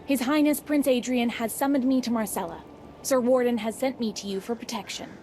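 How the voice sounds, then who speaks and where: A woman acts as a proud fantasy-game heroine, cool and assertive. Her voice is soft-edged and dark in tone, pitched a little high, with a dry delivery. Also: steady tone, brisk, a little hiss or hum, lightly acted. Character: self-assured female freed prisoner, proud adventuring hero. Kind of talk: fantasy video game voice acting.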